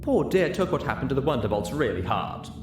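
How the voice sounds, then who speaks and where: A man's voice: mid-range voice, very animated, a little fast, echoey room, music in the background, pitched above the performer's own voice, natural voice. A man voicing a refined, dramatic, fashionable female pony with a genteel, affected manner, in a story reading. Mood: sympathetic, genteel.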